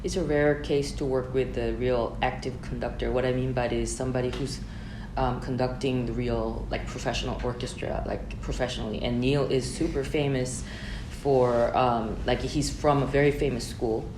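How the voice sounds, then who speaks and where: A woman speaks thoughtfully, with fillers and restarts. Her voice is extremely low for a woman. The recording is echoey, with a clear layer of background noise. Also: fairly even intonation, moderate pace. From South Korea. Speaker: high school music teacher. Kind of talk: casual interview answers.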